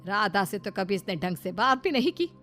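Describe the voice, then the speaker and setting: A woman's voice: mid-range voice, sing-song, moderate pace, fairly bright tone, faint music behind. A woman voicing a protective middle-aged mother-in-law in a cartoon, concerned and reproving. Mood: puzzled, disapproving.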